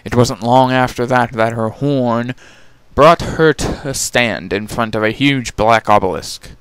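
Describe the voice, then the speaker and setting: A man's voice: medium pitch, a little slow, fairly bright tone, clear, resonant tone, dry recording. A man narrating a dramatic reading of a fantasy fan fiction story.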